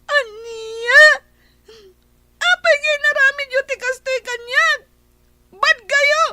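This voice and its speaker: A woman's voice: very high-pitched, slow pace, pushed and bright, full character voice. A woman voicing a frightened kidnapped young girl who pleads tearfully in a radio drama.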